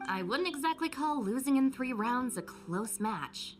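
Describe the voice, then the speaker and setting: A woman's voice: high voice, animated, unhurried, soft background music. A woman playing a dry, sensible, exasperated young gang deputy in a video game, blunt and matter-of-fact.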